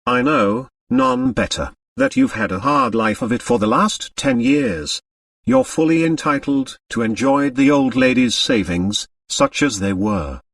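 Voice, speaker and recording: A big, breezy middle-aged doctor from a storybook, as a man acts him out. He fumes and blusters with emphatic energy. His pitch moves in lively swings, in a dry but slightly distant recording. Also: measured pace, gravelly, dry recording, full character voice. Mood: frank, kindly.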